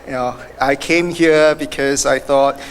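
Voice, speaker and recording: Man, Singaporean accent, acceptance speech, halting with fillers, echoey hall, faint noise. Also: mid-range voice, fairly even intonation. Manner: halting, many fillers and restarts. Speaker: award recipient. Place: event hall.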